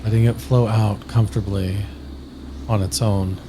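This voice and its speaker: A man narrating a scripted guided sleep meditation slowly and soothingly, with long pauses. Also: lowish voice, level tone, noisy background.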